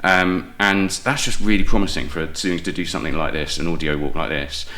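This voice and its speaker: A man's voice: deep voice, fairly even intonation, fairly quick, pushed and bright. A man with a British accent, talking reflectively and casually as an interview guest. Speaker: guest, playwright and audio drama producer.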